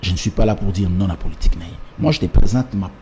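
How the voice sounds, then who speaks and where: A man's voice: deep voice, quick pace, background noise. A man, giving an emphatic political interview and driving his points home with repetition and rhetorical lists. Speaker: political party representative. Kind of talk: political interview, mixing French and Lingala.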